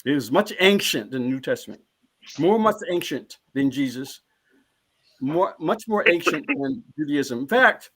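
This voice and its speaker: A man's voice: a little high, lively intonation, normal speed, a bit bright. A man speaking as a panelist in a live discussion, earnest and emphatic, often repeating a phrase as he builds toward his point.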